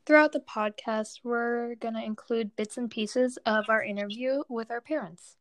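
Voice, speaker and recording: A woman's voice: a little high, normal speed. A teenage girl from Turkey co-hosting a student podcast, chatting casually with lots of fillers.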